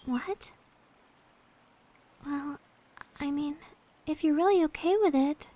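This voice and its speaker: A woman playing a gentle, devoted young maid in an ASMR roleplay, speaking in a soft, sweet, tender way. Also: sits high, slow pace. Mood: hesitant, wistful. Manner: soft, sweet, tender, hesitant when confiding.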